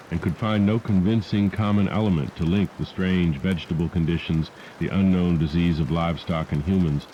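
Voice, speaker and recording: Deep, dark-voiced man narrating horror on muffled tape. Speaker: audiobook narrator. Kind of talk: scripted horror story narration. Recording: muffled degraded cassette tape with rain noise. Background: rain and storm sounds.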